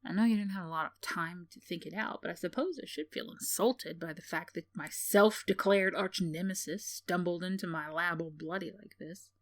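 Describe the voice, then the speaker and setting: A woman's voice: lowish voice, normal speed, hardly any pauses, no echo, lightly acted. A woman playing a sardonic tsundere villain in a roleplay ASMR, teasing and condescending with theatrical sighs and scoffs, yet secretly caring. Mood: scornful teasing.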